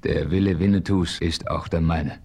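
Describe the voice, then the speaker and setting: A calm, deep-voiced frontier hero. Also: male voice, moderate pace, vocal fry. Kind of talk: 1970s radio play acting.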